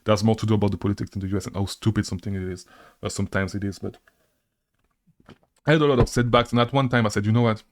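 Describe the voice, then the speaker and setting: A young man with a fairly low voice talks through a hard stretch of his life. He rambles and restarts, correcting himself mid-sentence and tossing in "okay?" and "right?" to the viewer. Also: fairly even intonation, very fast, long pauses. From Belgium. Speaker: online seller and channel host. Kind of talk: casual personal story to camera.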